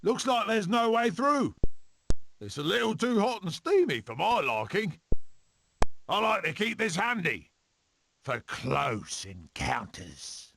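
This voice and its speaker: Cocky lil weasel adventurer, high and unhurried, jaunty, kinda eccentric cartoon game voice. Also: male voice, sing-song, vocal fry, a bit bright, a little hiss or hum, full character voice. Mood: cocky, wry. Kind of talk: animated game voice acting.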